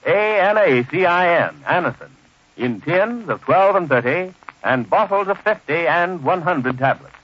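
Animated American old-time radio announcer, male. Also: fairly high pitch, measured pace, a little hiss or hum. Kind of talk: 1930s-40s radio announcing, scripted sponsor message. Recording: muffled old radio recording.

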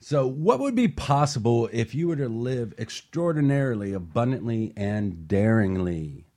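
A man hosting a motivational radio talk show, chatting casually and upbeat with a co-host in a studio. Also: mid-range voice. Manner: upbeat motivational, relaxed with fillers.

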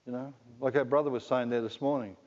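A man's voice: medium pitch, fairly even intonation, fast talker, darkish tone. Australian man, middle-aged, chatty joking preacher, dull lo-fi sound, long hall echo. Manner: conversational, joking, asks the congregation questions. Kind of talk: sermon. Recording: dull lo-fi recording in a reverberant hall.

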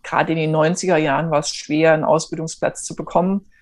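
A woman's voice: low voice, flat delivery, fast talker. A woman in her forties from Germany, a guest in an interview, telling her story in a rambling way with many fillers and restarts. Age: 40s. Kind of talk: casual interview talk.

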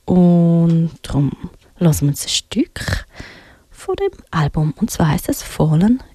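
Female music radio host, Swiss German accent, unhurried and halting, soft dark tone, dry close studio sound. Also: on the low side, big pitch swings, slightly rough, no room sound. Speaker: radio music show presenter. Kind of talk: unscripted music presentation between songs.

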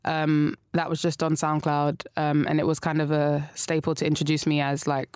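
A woman's voice: low-pitched, completely flat delivery, normal speed, a little mellow, clear, resonant tone, dry recording, clean studio sound. A young woman from South London with a London accent, chatting casually as a guest, hesitant and full of restarts. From South London, England. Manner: hesitant, many restarts and fillers, laughs easily. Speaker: guest singer. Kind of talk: casual interview chat.

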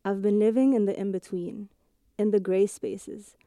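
Woman, 30s, from Egypt, mixed British-American accent with Egyptian and Italian traces; deep mellow timbre, calm reflective delivery of a scripted audio essay. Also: dry recording, clean studio sound. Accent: blend of British and American English with Egyptian and Italian touches. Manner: measured, reflective narration. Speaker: audio journalist. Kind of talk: scripted first-person radio essay.

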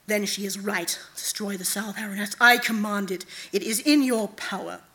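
Woman voicing an imperious, deliberate night goddess. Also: mid-range voice, a bit bright, lightly acted, rough recording. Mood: commanding. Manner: deliberate, commanding. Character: imperious female night god, cold, commanding, manipulative.

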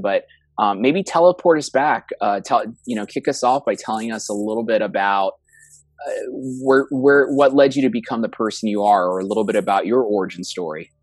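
A man's voice: on the low side, no room sound. A man hosting an interview podcast, enthusiastic and prone to repeating his words as he welcomes and questions a guest. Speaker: podcast host.

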